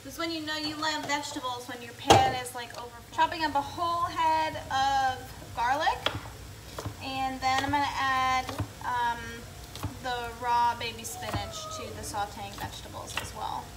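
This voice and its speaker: A woman's voice: high-pitched, fairly even intonation, moderate pace, very noisy. A young woman talking through her meal prep step by step while she cooks in her home kitchen, picked up by a camera across the counter. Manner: practical, step-by-step, while cooking. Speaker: home cooking vlogger. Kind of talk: casual cooking narration. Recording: echoey kitchen, distant mic, loud sizzling. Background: frying and sizzling food.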